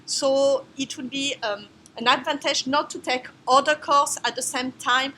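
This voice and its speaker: A woman's voice: high voice, fairly even intonation, measured pace, bright, forceful tone, slight background noise. A woman from France with a strong French accent, introducing herself as a professor of a course in careful, non-native phrasing. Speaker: biology professor.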